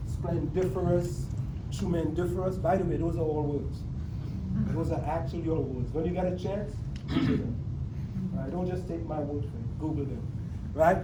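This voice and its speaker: A man's voice: a little high, background noise. A man in his sixties from Trinidad and Tobago gives a motivational talk to a sales team and keeps checking in with "right?" after his points. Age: 60s. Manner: plays with invented long words. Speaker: motivational speaker. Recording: distant mic in an echoey room.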